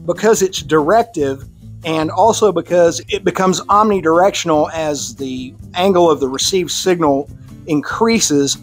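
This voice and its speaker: A man with a Texan accent presenting to a radio club, explaining in a relaxed, conversational way with casual asides. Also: fairly high pitch, measured pace, soft background music. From Texas. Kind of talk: technical slide presentation. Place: club meeting room.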